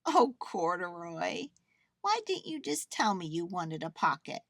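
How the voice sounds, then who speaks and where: A woman voicing an affectionate, earnest little girl in a storybook, eager and gently chiding. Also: lowish voice, big pitch swings, measured pace, no echo, clean studio sound, full character voice.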